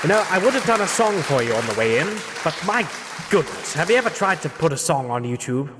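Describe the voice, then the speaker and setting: Man putting on a wacky old storyteller, swooping pitch. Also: big pitch swings, fairly quick. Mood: genial. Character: wacky old male storyteller hosting a show, genial. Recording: echoey hall, crowd and noise.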